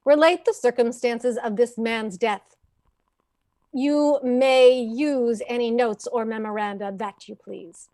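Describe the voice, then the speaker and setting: A woman's voice: a little high, steady tone, takes it slowly, a little mellow. An actress playing a stern, composed woman coroner who questions formally in an old-time radio drama. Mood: official, brisk.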